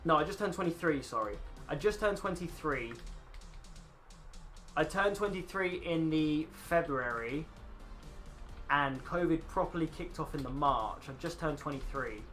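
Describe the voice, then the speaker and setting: A man's voice: on the high side, animated, a little slow, a bit bright, faint music behind. A man in his twenties casually chatting and rambling to viewers while streaming a game. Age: 20s. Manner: rambling, chatty, swears casually, talks to chat. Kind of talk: casual live-stream chat.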